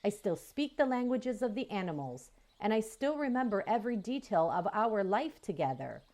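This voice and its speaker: A woman voicing a calm, gentle female robot who is a mother. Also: mid-range voice, moderate pace. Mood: tender.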